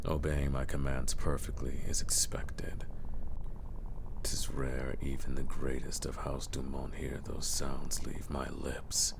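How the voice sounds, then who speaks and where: A man playing a ruthless, haughty vampire lord in an ASMR roleplay, with formal archaic diction and a breathy, gravelly half-whisper. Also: extremely low pitch, little pitch movement, takes it slowly, background noise, exaggerated voice. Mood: quietly appreciative, imperious.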